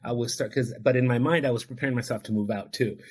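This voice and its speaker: A man whose first language is Spanish talks as a guest on a podcast about his own past. He is an addiction counselor, and he speaks in an earnest, reflective, heartfelt way. His voice sits at an ordinary middle pitch for a man. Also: steady tone, quick pace, husky. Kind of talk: podcast interview, personal storytelling.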